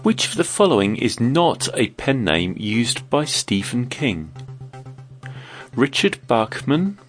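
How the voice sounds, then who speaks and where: Quiz host with a cold: croaky man, animated intonation, reads questions clearly. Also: mid-range voice, takes it slowly, faint music behind.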